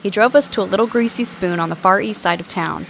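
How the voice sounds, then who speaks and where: An actress playing an eager, curious radio host who investigates mysteries, in audio drama dialogue and first-person narration. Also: female voice, mid-range voice, quick pace, creaky, noisy background, natural voice. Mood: measured storytelling.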